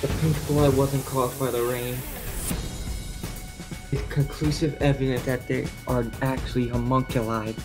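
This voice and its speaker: A man's voice: medium pitch, flat delivery, unhurried. A man in a group game reaction, reading the dialogue aloud and commenting casually. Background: video game music.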